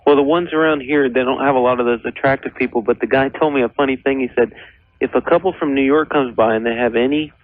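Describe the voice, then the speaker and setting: A man's voice: medium pitch, steady tone, fast talker, dry recording. An American man in his thirties, a caller to a radio advice show, chatting casually and asking questions. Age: 30s. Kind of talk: casual phone conversation. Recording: thin, phone-like radio call-in line.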